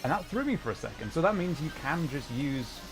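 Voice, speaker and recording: A man's voice: on the high side, sing-song, fairly quick, rough recording. A man giving casual gaming livestream commentary, rambling and thinking aloud as he plays. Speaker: livestream host, gamer. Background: music.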